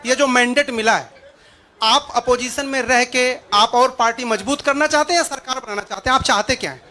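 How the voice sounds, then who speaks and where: Very high, bright-voiced male reporter. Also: a little fast, some chatter behind. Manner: insistent, repeating himself to get through. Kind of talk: press conference questioning.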